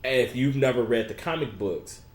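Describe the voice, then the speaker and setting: A man's voice: medium pitch, lively intonation, moderate pace. A man co-hosting a casual podcast chat, laying out his view in a laid-back, explanatory way. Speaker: podcast co-host. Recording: echoey room.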